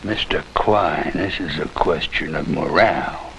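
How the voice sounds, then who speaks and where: An actor playing a stern, proud cavalry commander in an old American TV western, lecturing in a commanding, condescending way. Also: male voice, extremely low pitch, a little slow, vocal fry, a bit bright, heavily acted. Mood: scornful. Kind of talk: mid-century American TV western acting. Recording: dull, noisy old TV soundtrack, rain.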